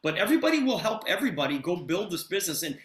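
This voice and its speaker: A man's voice: high-pitched, big pitch swings, rapid-fire. A man hosting an online meeting in an enthusiastic, informal way, repeating phrases for emphasis on a video call. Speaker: host of an online testimonial meeting. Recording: roomy, echoing video-call sound.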